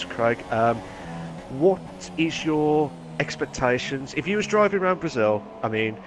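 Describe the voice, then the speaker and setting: A man co-commentating on a sim racing event, excitable and prone to loud exclamations. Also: mid-range voice, noisy background. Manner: excitable, shouts and exclaims over the action.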